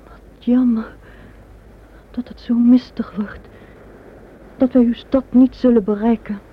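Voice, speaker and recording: A woman's voice: a little high, steady tone, slow, slightly rough. A woman playing a proud queen gripped by fearful visions, speaking in an old radio drama. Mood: troubled. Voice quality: hushed, whispering, frightened. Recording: tinny old radio recording, faint hiss.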